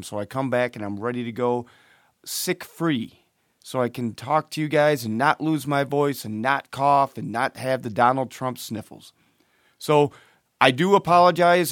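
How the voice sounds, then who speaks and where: A man hosting his own podcast in a chatty, ranting monologue, hoarse and losing his voice from a cold. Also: medium pitch, no room sound, studio quality. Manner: ranting, chatty, with fillers and restarts. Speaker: podcast host.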